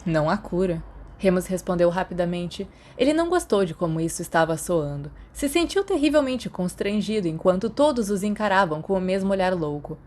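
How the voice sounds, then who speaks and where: A Brazilian woman narrates fiction in scripted audiobook style. Her voice sits at a medium pitch for a woman. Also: sing-song, brisk, few pauses, darkish tone. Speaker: podfic narrator.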